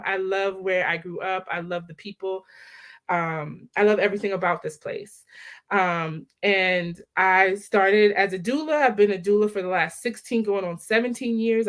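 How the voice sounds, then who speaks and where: Animated woman on a video call. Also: mid-range voice. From Baltimore area, Maryland. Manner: animated, laughing storyteller. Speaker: guest, maternal health director. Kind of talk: casual interview chat. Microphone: video call on a laptop.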